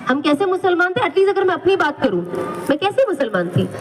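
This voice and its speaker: An earnest woman gives a religious talk in a high voice and repeats her phrases for emphasis. Her voice rings out with a long hall reverb over faint background noise. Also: sing-song, fairly quick. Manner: earnest, repeats phrases, acts out quoted people. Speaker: religious speaker. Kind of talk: Islamic talk.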